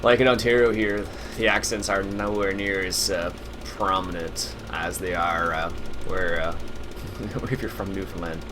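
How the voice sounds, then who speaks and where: A man's voice: sits low, measured pace. A Canadian man with a Canadian English accent giving casual, rambling commentary while playing a game, full of filler sounds and small chuckles. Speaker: let's play host. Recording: steady loud game engine noise underneath. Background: farm vehicle engine sounds from the game.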